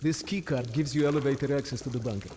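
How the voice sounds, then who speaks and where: An actor playing a wary, reluctant Soviet double agent in a video game, guarded and subdued. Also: male voice, mid-range voice, fast, lightly acted. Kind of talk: dramatic video game cutscene acting. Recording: echoey space, noisy background.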